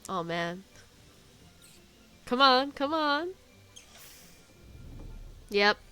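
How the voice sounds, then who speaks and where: A woman's voice: high-pitched, lively intonation, very slow, pushed and bright, clear, resonant tone, faint music behind. A woman gaming streamer, casually reacting to a game and reading dialogue aloud.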